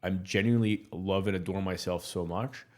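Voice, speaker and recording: A man's voice: deep voice, steady tone, moderate pace. A man in his thirties, born in Morocco, talking candidly and bluntly as a podcast guest, with frequent restarts and fillers. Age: 30s. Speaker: guest entrepreneur. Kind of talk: casual podcast interview.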